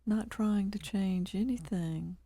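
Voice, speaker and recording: A woman leading a guided body scan meditation, calm and measured, leaving long pauses between short phrases. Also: medium pitch, breathy edge, no room sound.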